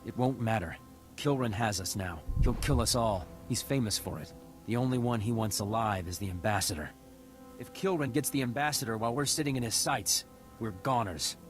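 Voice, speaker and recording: A man's voice: lowish voice, brisk, lightly acted. An actor playing a worried male starship officer, grave and earnest, in a sci-fi video game. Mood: grim. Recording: faint music behind the voice.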